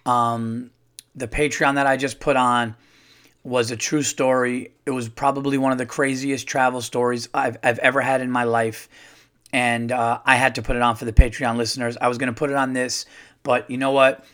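A middle-aged man, a comedian hosting his own podcast, chatting casually and rambling with lots of fillers, his voice a little hoarse from touring. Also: medium pitch, flat delivery, normal speed, fairly bright tone. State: slightly hoarse, losing his voice. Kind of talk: casual solo podcast monologue.

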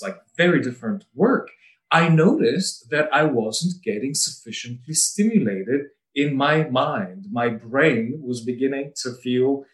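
A middle-aged man from Bulgaria, a lawyer, giving a measured and articulate personal account. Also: mid-range voice. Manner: measured and articulate, occasional light laughs. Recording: roomy echo, mic at a distance.

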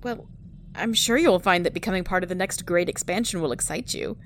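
A woman's voice: on the low side, fairly quick, slight background noise, lightly acted. A woman playing a friendly, sociable scientist on a starship crew in a sci-fi audio drama.

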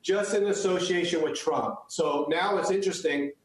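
A man's voice: fairly high pitch, moderate pace, very echoey, poor audio. A man, born in the US and raised speaking Chinese first, answering interview questions earnestly with many restarts. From United States. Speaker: guest, photographer.

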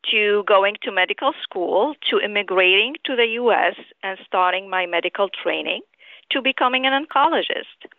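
A middle-aged woman from Syria speaks over a thin, narrow telephone line. She is a guest author answering interview questions in measured, articulate and rather formal sentences. Her pitch sits in the middle for a woman. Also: no room sound. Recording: tinny narrow phone line.

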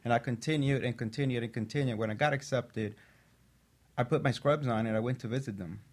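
A man who works as a physician assistant, a guest on a talk show, telling a personal story in an earnest, steady way. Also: medium pitch, brisk.

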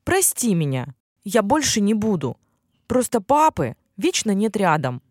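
A woman's voice: mid-range voice, big pitch swings, a little slow, a little mellow, no echo, clean studio sound. A woman voicing a spoiled, tearful little princess girl in a plaintive, whining way for a storybook tale. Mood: pleading, sorry.

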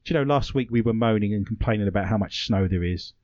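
A man's voice: on the low side, quick pace, darkish tone, dry recording, highs cut off. A British man hosting a talk radio show, chatting playfully with rambling jokes and asides from a studio. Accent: British English. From Britain.

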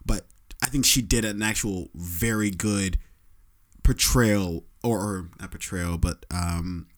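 A man co-hosting a podcast, chatting casually about a film with a halting delivery and many restarts. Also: low voice. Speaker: podcast co-host. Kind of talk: casual film review chat.

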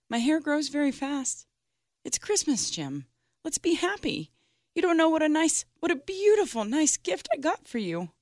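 A man voicing a young, loving wife who is anxious and pleading, in a soft, high falsetto. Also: no echo, studio quality, exaggerated voice.

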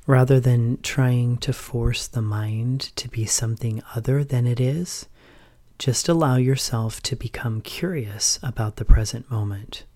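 A man speaks as a meditation teacher in a calm, gentle voice of average pitch. His delivery is slow and measured, with frequent pauses between short instructions. Phrases often trail off softly and breathily. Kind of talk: guided meditation narration.

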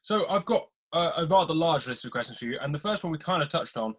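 A man interviewing a guest in a relaxed, friendly way. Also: a little high, fast talker. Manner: easygoing, calls guest mate. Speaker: podcast interviewer. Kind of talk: casual interview chat.

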